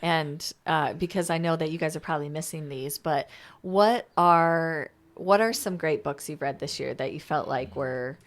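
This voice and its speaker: A woman with a slightly low voice hosts a conversational interview. She sounds chatty and informal, circling back to rephrase her thoughts as she talks. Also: moderate pace. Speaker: interviewer, podcast host.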